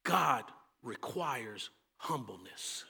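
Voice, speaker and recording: A Texan man preaching a sermon as pastor, moving between loud, fervent calls and quiet, low asides. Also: expressive, very slow, vocal fry, breathy edge, big-room sound.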